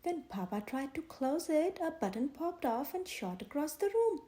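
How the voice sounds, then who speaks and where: A woman narrates a children's storybook in a high, mellow voice. Her phrasing is expressive, with plenty of rise and fall. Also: moderate pace. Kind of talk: children's picture book read aloud.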